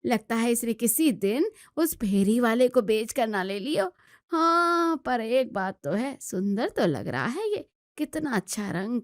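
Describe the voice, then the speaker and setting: A woman storyteller plays a middle-aged mother-in-law who rules her house and grumbles about every change. Her voice is on the high side and scolding, stubborn and bossy, recorded dry for an Indian family drama story. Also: no echo. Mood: suspicious grumbling, then grudgingly admiring. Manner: scolding, complaining.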